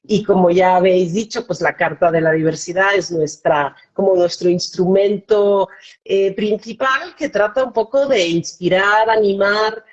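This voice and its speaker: A woman's voice: mid-range voice, a little slow, muffled recording. A Swiss-born woman whose Spanish carries a Mexican-tinged accent, speaking as a guest in a live online interview, hesitant, with many fillers and restarts. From Switzerland. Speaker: foundation director, guest.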